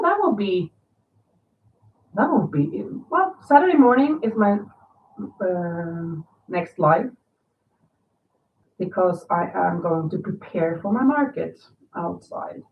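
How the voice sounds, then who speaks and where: A woman in her fifties chats loosely and rambles, her voice a little nasal and raspy from a lingering cold. She sits some way from the mic. The sound is thin like a phone line and echoes in the room. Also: on the low side, recorded from a distance. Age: 50s. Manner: chatty, rambling, reads viewer comments aloud. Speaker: crochet livestream host. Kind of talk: casual livestream chat. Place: home.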